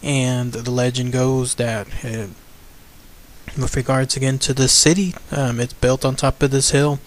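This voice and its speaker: A man's voice: medium pitch, flat delivery, measured pace. A man, the channel's host, casually narrating a legend over photos in an enthusiastic, rambling way with frequent fillers.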